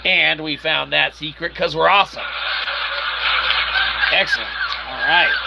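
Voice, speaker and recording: Gravelly-voiced man doing cocky gaming commentary. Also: medium pitch, background noise. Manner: playfully cocky, talks in "we". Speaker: gameplay host.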